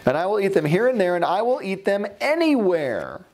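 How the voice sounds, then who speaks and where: A man's voice: high-pitched, big pitch swings, brisk, darkish tone, pitched above the performer's own voice. A man reading a storybook as a grumpy old creature turned delighted, exclaiming in bouncy rhyme. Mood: delighted, grateful.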